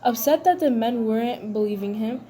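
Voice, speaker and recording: A woman's voice: a little high, moderate pace, dark tone. A woman reading a scripted news report aloud as one of two presenters.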